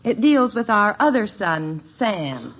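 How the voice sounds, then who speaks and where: A woman's voice: mid-range voice, big pitch swings, slow. An actress playing a sensible, composed, middle-aged American wife and mother in a 1950s radio sitcom, wryly amused. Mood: wry amusement. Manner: wry, composed. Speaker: actor in a family situation comedy. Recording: muffled, noisy old radio recording.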